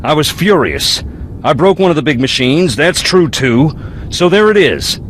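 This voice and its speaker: An actor playing an aggrieved, rough-edged young man in a video game, talking in a folksy, plain-spoken way. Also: on the high side, big pitch swings, moderate pace, slight background noise, rough recording. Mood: indignant. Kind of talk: video game character dialogue.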